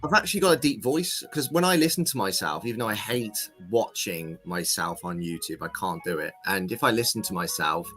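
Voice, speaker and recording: Joking man, lively pitch. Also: brisk, few pauses, soft background music. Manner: self-deprecating, joking. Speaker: co-host. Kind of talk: casual livestream chat.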